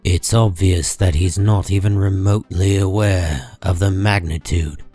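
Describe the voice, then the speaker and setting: A man reading scripted dramatic comic book narration in a measured, solemn manner. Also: low voice, fairly even intonation, warm tone, husky. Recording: music behind the voice.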